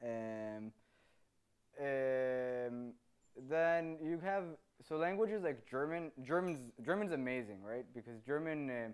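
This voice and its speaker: A man's voice: mid-range voice, slow, clean tone. A man in his thirties whose native language is Hebrew, a conference speaker answering audience questions on a handheld microphone and often ending his points with "right?". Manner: animated, gestures with free hand, tags sentences with "right?". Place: meeting room with audience.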